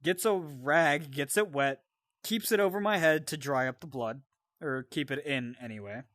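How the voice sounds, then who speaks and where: A man with a fairly high voice tells a story from his own life in a casual, animated style. He swears freely and drifts into explanatory asides. The sound is dry, with very little room. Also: moderate pace, no room sound. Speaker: storyteller and co-host. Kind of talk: casual personal storytelling with banter.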